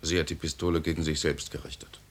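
An actor playing a blunt, sober doctor in a radio drama, curt and grave in delivery. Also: male voice, very deep voice, little pitch movement, fast talker.

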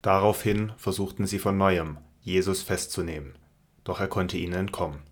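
A man's voice: low-pitched, steady tone, moderate pace. A man reading scripted narration for a Bible audio drama.

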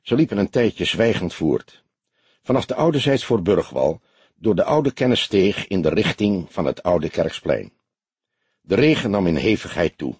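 Husky, low-voiced male storyteller. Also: measured pace, dry recording. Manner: expressive storytelling with acted dialogue. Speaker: audiobook narrator. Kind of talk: scripted narration of a detective novel.

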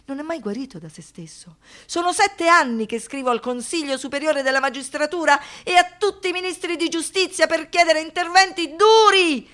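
Anguished woman with a bright, high voice. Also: moderate pace, few pauses. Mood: desperate outrage. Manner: impassioned, swinging from hushed murmurs to shouted outbursts. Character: anguished, defiant woman, survivor of a brutal assault. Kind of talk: theatrical radio monologue.

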